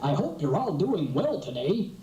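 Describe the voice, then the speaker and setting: A woman playing a friendly puppet host who explains things to children in a singsong, animated way, on an old VHS recording. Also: lowish voice, moderate pace. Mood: friendly explanatory. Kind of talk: scripted puppet show narration for children. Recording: muffled, echoey old VHS, distant, faint music.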